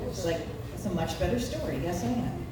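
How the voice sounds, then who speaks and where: A woman's voice: lowish voice, lively intonation, fairly quick. Echoey noisy room, midwestern woman in her 40s doing standup, wry and self-deprecating, takes her time. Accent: Nebraska Midwestern American. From Nebraska, United States. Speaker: stand-up comedian. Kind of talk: live stand-up comedy routine. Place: live comedy venue. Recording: echoey room, distant mic, background noise.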